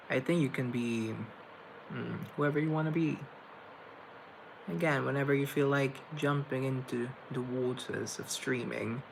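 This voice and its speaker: Gravelly young man chatting on a livestream. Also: medium pitch, background noise. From Colombia. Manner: rambling, thinks aloud, reads viewer comments. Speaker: livestream host reading chat. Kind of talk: casual livestream chat. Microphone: laptop mic.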